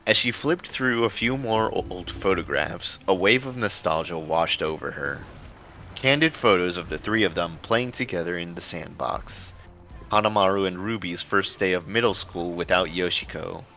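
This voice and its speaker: A man's voice: low-pitched, normal speed, sharp tone, faint music behind. A man reading a story aloud with casual asides, sometimes stumbling over his words and correcting himself. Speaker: story reader and show host.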